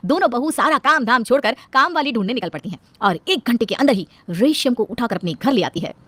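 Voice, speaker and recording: Fast-talking woman narrating a family story. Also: on the high side, expressive, fairly bright tone, husky, dry recording.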